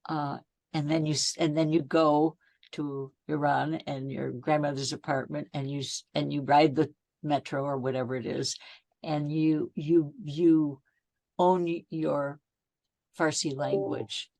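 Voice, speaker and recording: A woman's voice: low voice, little pitch movement, a little slow, gravelly, darkish tone, dry recording. A woman from the audience joining a video call, earnest and reflective, recalling a quote. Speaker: audience member. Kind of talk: casual conversation.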